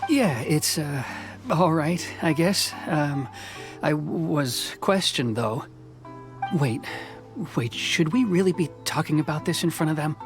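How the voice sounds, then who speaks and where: A man's voice: on the high side, measured pace. An actor playing a nervous, cautious young man in a video game who hesitates and stammers politely. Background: game background music.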